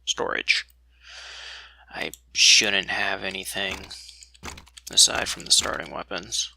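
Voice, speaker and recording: A man's voice: low-pitched, steady tone, takes it slowly, creaky, pushed and bright, a little hiss or hum. A man giving casual, unscripted commentary as he plays a video game, with a skeptical, dry way of talking. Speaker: let's play commentator.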